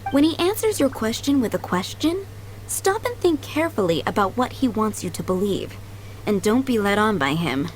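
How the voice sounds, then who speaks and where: A woman voicing a kindly, middle-aged head maid in a video game, polite, doting and gently chatty. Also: medium pitch, big pitch swings, moderate pace, a little hiss or hum, natural voice. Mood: fondly reminiscing. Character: kindly motherly head maid, fondly remembering boys she raised. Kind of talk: video game character acting. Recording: background music under the voice.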